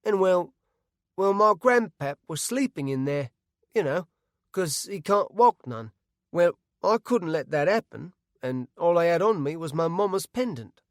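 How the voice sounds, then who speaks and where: A small, fretful villager who stammers through his story in broken country grammar, put on by a man. The voice sits higher than the reader's own, with a thin, oddly colored timbre. Read dry and close. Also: animated, unhurried, dry recording. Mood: earnest.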